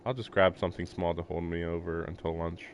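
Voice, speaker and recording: Chatty man with a lowish voice. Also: flat delivery, fairly quick, a little hiss or hum. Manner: chatty, laughs often, filler-heavy. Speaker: streamer. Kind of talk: casual reaction and reading a game aloud.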